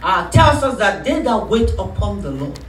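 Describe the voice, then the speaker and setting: A woman pastor preaching a sermon, calling for responses and rising into shouts. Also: medium pitch, sing-song, moderate pace, far from the mic, a little hiss or hum. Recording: echoey room, voice from off-mic.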